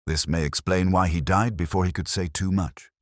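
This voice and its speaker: A man voicing a shrewd, commanding Victorian detective with measured, formal speech in an audiobook story. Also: low voice, steady tone, dry recording, clean studio sound, natural voice. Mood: shrewd, brisk. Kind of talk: audiobook character dialogue.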